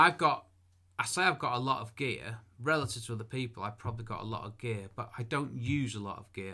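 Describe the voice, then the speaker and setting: A man's voice: medium pitch, sharp tone. A middle-aged man, teaching photography in casual talk to camera, chatty and self-deprecating, full of asides and restarts. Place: room at home.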